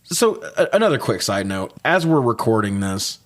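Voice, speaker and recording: A man co-hosting a podcast, chatting casually through a movie recap in a jokey, swear-heavy way that gets animated when he is riled. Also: fairly low pitch, moderate pace. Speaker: podcast co-host.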